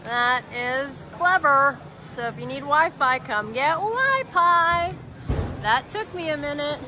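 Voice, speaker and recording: A young woman talks in a bubbly, joking way as she walks through town, her voice pitched a little high. Traffic and street noise stay clearly audible behind her on an outdoor handheld camera mic. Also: lively intonation, slow pace. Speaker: travel vlogger. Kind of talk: casual travel vlog chat.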